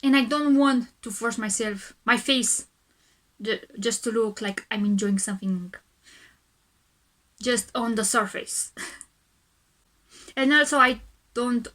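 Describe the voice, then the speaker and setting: High-voiced calm woman reacting to music. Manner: calm, soft-spoken, with hesitant restarts. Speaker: reaction video host. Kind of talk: casual music reaction commentary.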